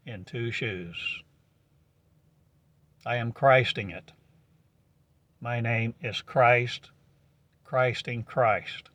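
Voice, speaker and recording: A man giving a prophetic monologue in slow, halting declamation with archaic phrasing, on a dull lo-fi recording. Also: mid-range voice, fairly bright tone, no room sound.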